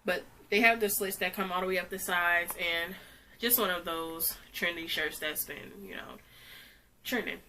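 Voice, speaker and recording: A woman's voice: fairly low pitch, normal speed, bright, forceful tone, a little hiss or hum. A young woman with a stuffy cold, chatting casually through a clothing try-on haul, rambling with lots of "like". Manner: chatty, rambling, uses "like" often. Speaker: fashion vlogger. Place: small bedroom, indoors.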